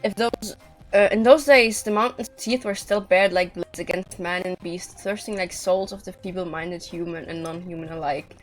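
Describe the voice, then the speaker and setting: A woman's voice: lowish voice, fairly even intonation, normal speed, faint music behind, low-quality recording. A woman streaming a video game live, speaking informally and bluntly, sometimes reading aloud.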